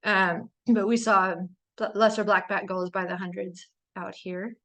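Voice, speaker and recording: A woman from North America giving a casual slide talk on birding. She is losing her voice, keeps clearing her throat and fills pauses with ums, but stays chatty and enthusiastic. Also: medium pitch, steady tone, a bit bright.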